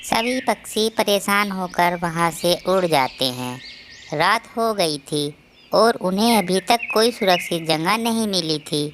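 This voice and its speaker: A woman's voice: fairly low pitch, animated, measured pace, noisy background. A woman narrating a scripted children's moral story.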